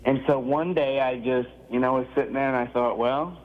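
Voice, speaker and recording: A man calling in to a radio show, chatty and laughing often, his voice a little gravelly today. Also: mid-range voice, little pitch movement, a little fast, phone-quality sound. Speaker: radio guest, impressionist. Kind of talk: casual phone chat. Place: remote call from Florida.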